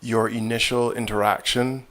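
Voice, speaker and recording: A man, a physician guest in an interview, answering in a measured, reflective way with many fillers and restarts. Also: medium pitch, level tone, fairly bright tone.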